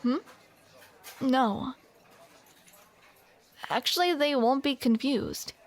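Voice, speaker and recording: A young woman with a high voice acts out a romantic comedy audio roleplay into a close mic. She talks at an unhurried pace, flustered and playful, with awkward little laughs.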